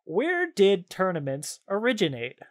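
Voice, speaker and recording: High-voiced playful man hosting a podcast. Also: huge pitch swings, measured pace. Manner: playful, jokey, laughs often. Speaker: podcast host and quizmaster. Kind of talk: casual chat, reading out trivia cards.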